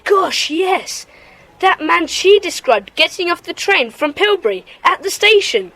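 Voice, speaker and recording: A woman playing a brisk, commanding schoolboy leader of a detective gang in a children's audio drama. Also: very high voice, big pitch swings, a bit bright, exaggerated voice, poor audio. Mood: urgent, excited.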